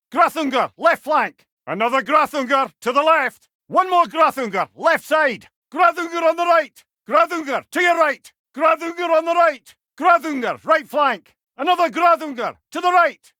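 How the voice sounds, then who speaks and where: A man's voice: very high voice, bright, forceful tone, no room sound, studio quality, exaggerated voice. A man voicing an alert video game battle companion who barks short, urgent warnings to his brother-in-arms. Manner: urgent, clipped, barking warnings. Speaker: game companion character calling out enemy positions.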